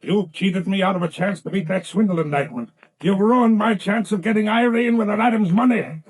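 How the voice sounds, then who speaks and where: An actor playing a cold, threatening, scheming swindler, curt and commanding, in a 1930s radio drama. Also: male voice, sits high, brisk, husky. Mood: bitter gloating.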